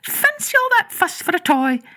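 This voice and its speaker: A woman voicing a brisk, grumbling nursery nanny in a children's storybook, brusque and exclaiming. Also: very high-pitched, very animated, pushed and bright, pitched above the performer's own voice, heavily acted.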